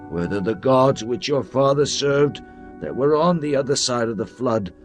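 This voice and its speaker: A man's voice: mid-range voice, lightly acted. A man playing Joshua, a leader solemnly addressing his people in a dramatized scripture reading, with music playing behind. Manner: solemn, commanding oratory.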